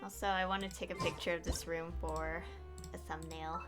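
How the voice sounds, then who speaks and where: A woman's voice: fairly low pitch, level tone, normal speed. A woman game streamer giving casual livestream commentary, hesitant and thinking aloud as she goes. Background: game music.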